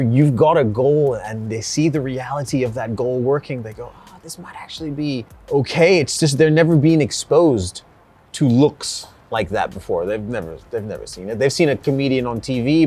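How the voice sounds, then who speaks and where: High-energy man in his forties. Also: moderate pace. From Hong Kong. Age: 40s. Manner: energetic, emphatic. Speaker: personal trainer. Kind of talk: casual chat.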